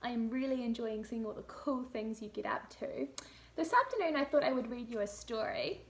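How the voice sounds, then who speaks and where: A woman reading a picture book aloud to young children in a deliberate, animated storytelling manner. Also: fairly high pitch. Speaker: teacher reading picture books to a class.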